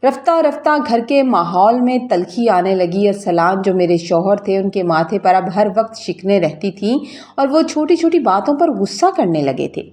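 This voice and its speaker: A woman's voice: medium pitch, lively intonation, darkish tone, faint music behind. A woman narrating a scripted, emotional story in a dramatic, sentimental storytelling manner.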